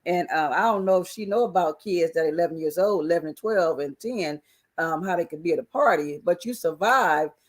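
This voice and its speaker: This is an easygoing man whose voice has gone hoarse, chatting casually as a co-host. He rambles, loops back with plenty of fillers, and sounds relaxed and unhurried. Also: a little high. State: hoarse, losing his voice. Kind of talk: casual chat.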